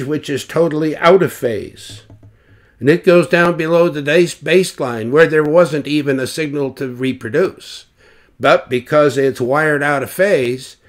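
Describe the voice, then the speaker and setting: A man's voice: on the high side, fairly bright tone. A man giving an informal technical explanation as an expert, posing questions and then answering them. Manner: explanatory, self-correcting, rhetorical questions. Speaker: loudspeaker designer explaining measurements.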